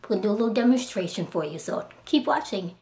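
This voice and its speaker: A woman in her thirties, a little hoarse from a cold, talks through an art supply demo in an easy, chatty way. She gushes with enthusiasm, often restarts her sentences, and sounds close to a camera mic in a small room at home. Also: mid-range voice, lively intonation, fast, a little mellow. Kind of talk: casual product demo.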